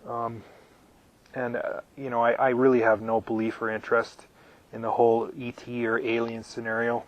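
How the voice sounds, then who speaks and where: A man talking live to his viewers from his camper out in the desert, his voice worn thin and his throat cleared again and again. He speaks at an ordinary middle pitch, halting through ums and repeated words as he answers questions. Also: monotone, dull audio. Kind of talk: live casual Q&A.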